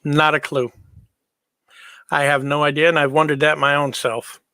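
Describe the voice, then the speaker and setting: Casting: easygoing male streamer, lighter-pitched voice, casual chat with viewers, very dry room sound. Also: level tone, normal speed, bright, forceful tone, smooth, dry recording. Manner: easygoing, informal. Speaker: livestream host.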